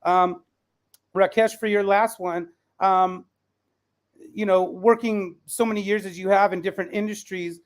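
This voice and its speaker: A man's voice: high-pitched, steady tone, a little slow. A man who teaches, chatting casually as he hosts a panel discussion. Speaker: podcast host and school teacher. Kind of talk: casual conversational panel hosting.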